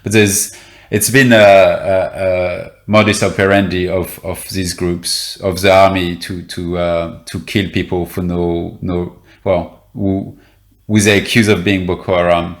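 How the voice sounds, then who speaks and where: A man's voice: low-pitched, little pitch movement, a little slow, roomy sound. A man, a reporter guest in an interview, explaining events with many fillers and restarts. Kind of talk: interview conversation.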